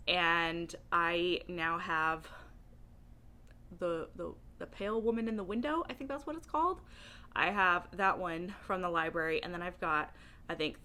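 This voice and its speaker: Woman book vlogger, bright forward voice, animated casual chat, informal and emphatic, giggly delivery. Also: fairly low pitch. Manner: giggly, laughs often while talking. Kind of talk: casual chatty video monologue.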